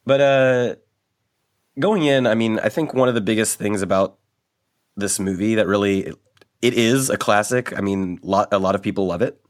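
Young male podcast host, low pitch, tired voice, halting casual delivery. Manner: hesitant, many ums and restarts. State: voice worn after a late party.

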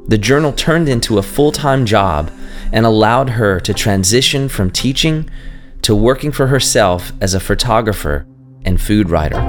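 A man hosting a podcast interview, talking in an earnest, enthusiastic and rambling way. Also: lowish voice. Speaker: podcast host. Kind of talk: casual interview chat.

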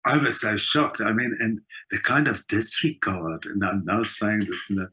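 South African man, somewhat low pitch, slightly rough husky voice, middle-aged, reflective, emphatic, halting interview talk. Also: sharp tone. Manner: emphatic, halting with repeated words and pauses. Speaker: actor and singer being interviewed.

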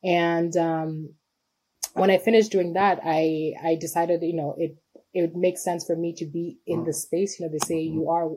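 A young adult woman from Cameroon, a humanitarian worker, answering interview questions in a thoughtful, measured way with many pauses and restarts. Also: fairly low pitch, level tone, dark tone, a little hiss or hum, rough recording. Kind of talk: conversational interview.

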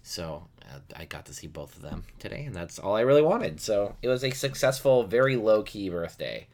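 Deep-voiced man in his thirties. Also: big pitch swings, moderate pace, a little mellow. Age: 30s. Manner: easygoing, rambling with fillers. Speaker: podcast co-host. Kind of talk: casual chat.

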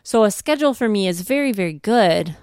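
A woman's voice: medium pitch, animated, brisk, a little mellow, clear, resonant tone, dry recording, studio quality. A woman, a podcast co-host, chatting casually and encouragingly as she shares advice and anecdotes from home life. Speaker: podcast co-host, homeschooling mother.

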